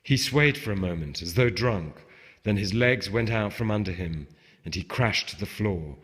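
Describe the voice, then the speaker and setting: Man, low-pitched, literary narration, author reading aloud, long hall reverb. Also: lively intonation, normal speed, slightly rough. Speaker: author reading from own novel.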